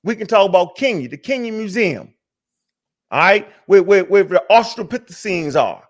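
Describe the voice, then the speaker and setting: A man's voice: high voice, lively intonation, moderate pace. A man hosting a casual talk show. He rants hard, swears a lot and trips over many restarts as he goes. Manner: fiery, profane, rambling with many restarts. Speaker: show host.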